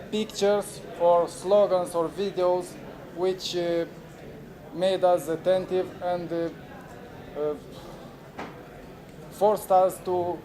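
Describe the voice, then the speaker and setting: A man in his forties from Moldova, a native Romanian speaker, presenting at a conference in halting English with many fillers and restarts. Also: high-pitched, warm tone. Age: 40s. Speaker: moderator. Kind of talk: unconference talk. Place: conference room with audience. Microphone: handheld camera recording.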